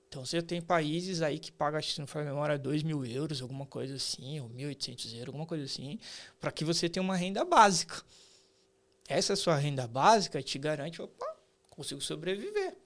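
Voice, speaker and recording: A Brazilian man in his late thirties with a fairly high voice for a man. He explains things like a podcast guest, in colloquial, emphatic phrases. He keeps checking in with rhetorical questions. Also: lively intonation, quick pace. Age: late 30s. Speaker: podcast guest, artificial intelligence expert and professor. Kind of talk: conversational explanation in an interview.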